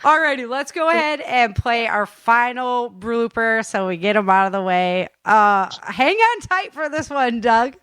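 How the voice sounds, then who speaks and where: A woman's voice: fairly high pitch, lively intonation, a little slow, few pauses, fairly bright tone, clean tone, dry recording. A woman hosting a casual chat in a playful, teasing manner. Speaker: host, audio drama author.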